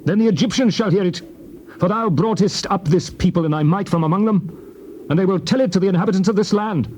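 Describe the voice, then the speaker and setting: Man as earnest prophet, highish voice, reverent imploring delivery, scripture drama, noisy tape. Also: fast, a little mellow, lightly acted. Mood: pleading. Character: earnest prophet leader pleading with God.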